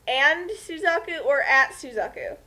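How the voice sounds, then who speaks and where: Sarcastic lady chiming in, high swoopy voice, slow talker. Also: animated, sharp tone. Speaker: co-commentator. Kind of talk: casual comic commentary.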